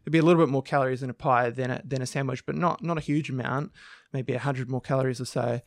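A man with a croaky, gravelly voice talks at length on a casual podcast, often restarting his sentences. The recording is dry and close, with no room echo. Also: mid-range voice, fairly even intonation, fast. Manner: talkative, explains at length with many restarts. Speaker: podcast co-host. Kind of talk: casual discussion.

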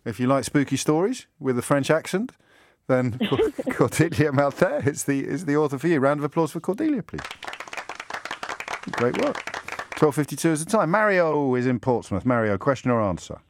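A man's voice: on the high side, very animated, moderate pace, a faint crowd. A man hosting a radio phone-in show from a studio, wry and teasing with his callers. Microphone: studio microphone.

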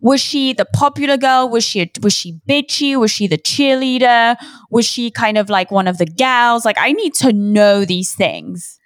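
A woman's voice: on the high side, expressive, measured pace, dry recording. A woman in her thirties with a mixed, British-sounding accent, hosting a podcast and asking blunt, eager questions with plenty of swearing. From outside the US. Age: 30s. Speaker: podcast host. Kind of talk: casual interview chat.

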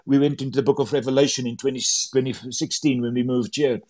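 A man's voice: medium pitch, steady tone, fast talker, dark tone. A man whose first language is Afrikaans, speaking English with an Afrikaans accent, talking as a guest about his books in a casual interview. He talks eagerly, tripping over words and restarting often. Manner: enthusiastic, many stumbling repeats and restarts. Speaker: author and Bible translator.

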